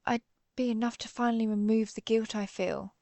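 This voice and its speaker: A woman's voice: on the high side, little pitch movement, warm tone, dry recording, close to an everyday voice. A woman playing a centuries-old vampire hunter turned vampire, guilt-ridden but resolute, in a somber, confessional audio roleplay monologue. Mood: quietly determined, remorseful. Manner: confessional, somber, measured storytelling.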